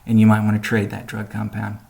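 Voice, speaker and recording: Low, gravelly man presenting on a business call. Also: completely flat delivery, brisk, warm tone. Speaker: company spokesman presenting an update.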